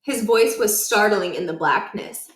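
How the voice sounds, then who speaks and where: Woman storybook reader, mid-pitched, expressive children's narration, noticeably roomy sound. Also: unhurried. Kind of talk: children's read-aloud narration. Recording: roomy, echoing indoor recording.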